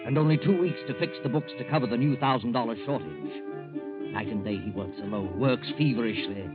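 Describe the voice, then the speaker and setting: An actor playing an ominous mystery narrator in 1940s radio style, with drawn-out, menacing emphasis. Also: male voice, mid-range voice, close to an everyday voice. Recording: dull old radio recording, loud music. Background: loud music.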